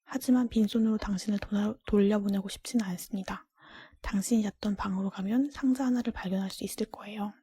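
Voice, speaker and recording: A woman voicing a polite, formal male beast of a castle from a storybook, in a breathy whisper. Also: medium pitch, fairly even intonation, fast, warm tone, no room sound. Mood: calm, courteous.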